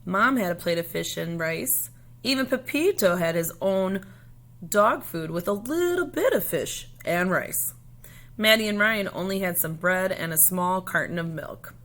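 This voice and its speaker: A woman reading a children's storybook aloud in a deliberate, expressive way. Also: lowish voice.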